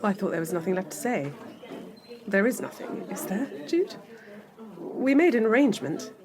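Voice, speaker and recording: A woman's voice: medium pitch, very animated, moderate pace, a crowd in the background, natural voice. An actress playing an anxious professional woman in her forties who gets worked up easily, in a naturalistic audio drama. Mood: upset. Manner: emotional, questioning, easily worked up. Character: anxious, wine-drinking middle-aged professional woman, wistful and needling.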